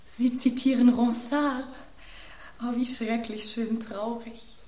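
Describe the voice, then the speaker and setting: A woman's voice: a little high, fairly even intonation, slow, darkish tone. An actress playing an anxious, poetic woman in a radio drama, theatrical and exclamatory. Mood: tragic awe. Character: melodramatic, anxious, poetic woman at a tense dinner. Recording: roomy sound with hiss.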